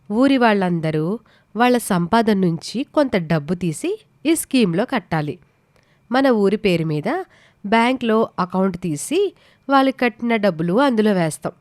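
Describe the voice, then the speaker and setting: A woman's voice: medium pitch, darkish tone, dry recording, studio quality, natural voice. A woman playing a scheming, sweetly persuasive middle-aged village mother in a moral story. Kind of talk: dramatised Telugu moral story.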